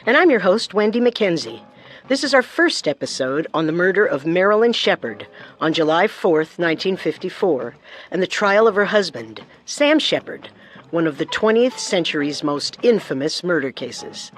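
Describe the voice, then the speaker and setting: A woman's voice: fairly low pitch, very animated, moderate pace. A woman hosting a podcast with scripted true-crime narration. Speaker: podcast co-host.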